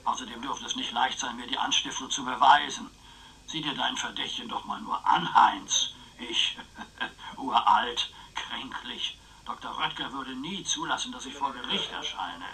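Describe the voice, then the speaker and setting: An actor playing a mischievous, scheming old uncle, sly and self-satisfied, in a radio crime drama. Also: male voice, mid-range voice, unhurried, sharp tone, poor audio. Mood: sly, smug. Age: older.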